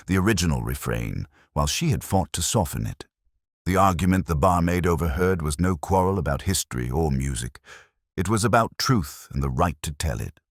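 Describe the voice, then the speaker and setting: A man reading a scripted first-person detective story in a measured, literary, melancholy manner, with a gravelly, husky voice. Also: a bass voice, dry recording, studio quality. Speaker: narrator.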